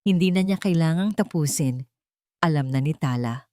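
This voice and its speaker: A woman reading a scripted romance story as narrator, with deliberate, dramatic phrasing. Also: sits low, darkish tone, dry recording, studio quality.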